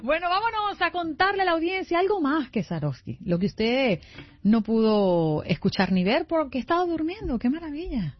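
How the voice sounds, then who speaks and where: A woman's voice: high-pitched, lively intonation, moderate pace, muffled recording. A woman hosting a live radio show from a studio, moving between upbeat banter and headline reading. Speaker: radio show host and news headline reader. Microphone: close studio microphone.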